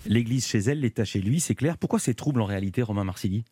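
Male radio interviewer, fast and pressing, dark husky tone, live studio. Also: fairly low pitch, animated. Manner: brisk, pressing questions.

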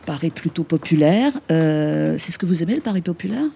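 A man hosting a radio interview, chatty and relaxed, with frequent fillers. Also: a little high, a little fast, creaky, breathy edge. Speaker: radio host. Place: outdoors in a city street.

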